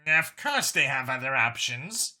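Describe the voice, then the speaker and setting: A man voicing a powerful, busy female alien leader with a brisk, authoritative manner. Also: mid-range voice, very animated, bright, forceful tone, exaggerated voice.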